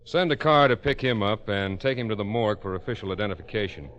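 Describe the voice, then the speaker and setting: Actor as a low-voiced, terse homicide lieutenant. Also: male voice, fast talker, a bit bright, dull audio, close to an everyday voice. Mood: grim, authoritative. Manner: terse, matter-of-fact, authoritative. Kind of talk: old-time radio drama acting. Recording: faintly noisy old radio recording.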